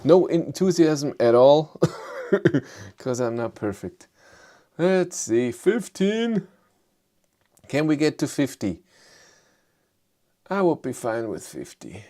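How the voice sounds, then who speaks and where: A man with a thick German accent hosting a casual live chat, low-key and hesitant, with many fillers as he answers viewers. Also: very animated, slow, pauses often, warm tone. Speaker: livestream host.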